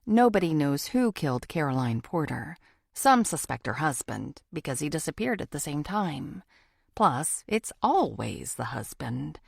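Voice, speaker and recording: Low, creaky-voiced woman narrating an audiobook. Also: very animated, measured pace, dry recording, clean studio sound. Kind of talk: scripted first-person thriller audiobook narration.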